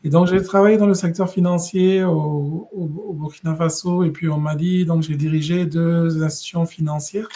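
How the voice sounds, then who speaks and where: A middle-aged man from West Africa, a podcast guest, telling his story in a measured, thoughtful way with many pauses and restarts. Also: on the high side, steady tone, warm tone. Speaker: guest, regional director. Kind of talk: conversational podcast interview.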